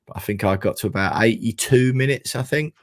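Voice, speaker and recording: A man with a croaky, hoarse voice, rambling and correcting himself in a casual football podcast chat. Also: lowish voice, brisk. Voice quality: rambling, self-correcting, frequent "you know". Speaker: podcast co-host.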